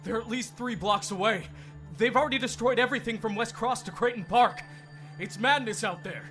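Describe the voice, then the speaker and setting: A man's voice: very high-pitched, brisk, a bit bright, soft background music. An actor playing a panicked, breathless man in a dramatised audiobook. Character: panicked, out-of-breath male friend bringing bad news.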